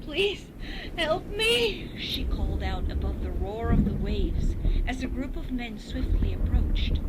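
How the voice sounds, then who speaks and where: A woman reading a horror story as a narrator, in a dramatic scripted delivery. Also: mid-range voice. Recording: muffled recording with heavy noise.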